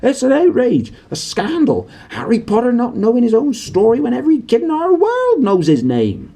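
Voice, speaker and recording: Guy doing a big gruff giant groundskeeper from a storybook, folksy and blunt, loud, husky, jumps up high. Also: huge pitch swings, normal speed, heavily acted. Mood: outraged. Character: huge, gruff, warm-hearted, hot-tempered male half-giant groundskeeper.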